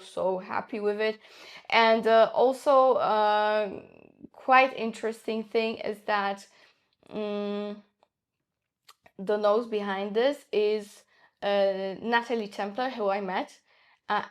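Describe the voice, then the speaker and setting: Slow-talking Polish woman reviewing perfumes. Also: fairly high pitch. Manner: chatty, enthusiastic, many fillers. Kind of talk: casual fragrance review.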